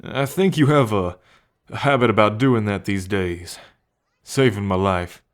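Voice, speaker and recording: Casting: male actor as a devoted bull man with a violent past, unhurried and halting, tender and earnest, for listener-directed ASMR roleplay. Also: fairly low pitch, natural voice. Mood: grateful, tender.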